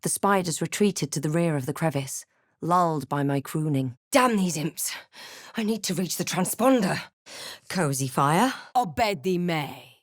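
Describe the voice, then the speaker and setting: A woman playing a wry, watchful fantasy adventurer hero in a video game, with a measured, dramatic delivery. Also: lowish voice, dry recording, studio quality. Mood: commanding incantation. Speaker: player character in a fantasy role-playing game. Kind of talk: video game voice lines and narration.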